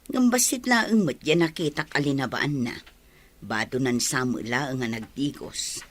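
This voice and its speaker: Kind, concerned village wife. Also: female voice, very deep voice, measured pace, no room sound. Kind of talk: Filipino radio drama acting.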